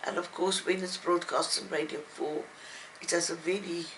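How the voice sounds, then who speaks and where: An older woman speaking as an interviewed writer, reflective and halting, with thoughtful pauses. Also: on the low side, steady tone, fairly bright tone, slight background noise, poor audio. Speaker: novelist and playwright being interviewed. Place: at home, indoors.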